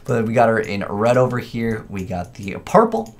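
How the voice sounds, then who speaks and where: Male gaming host, lowish voice, loose and chatty, thinks aloud. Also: big pitch swings, normal speed. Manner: informal, rambling, thinking aloud. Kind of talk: casual gameplay commentary.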